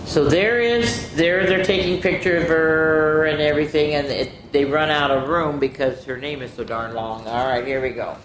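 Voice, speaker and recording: A woman's voice: fairly even intonation, moderate pace, few pauses. A woman hosting a children's story time, reading picture books in a sing-song way with chatty, exclamatory asides. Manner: chatty, exclamatory, drawing out rhymed words. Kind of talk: animated picture-book read-aloud with sing-song rhymes and casual asides. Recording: echoey room with background rumble noise.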